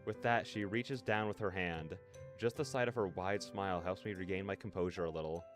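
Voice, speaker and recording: A man's voice: sits low, brisk. A man streaming a story game, reading narration aloud with feeling and reacting casually, with music behind him.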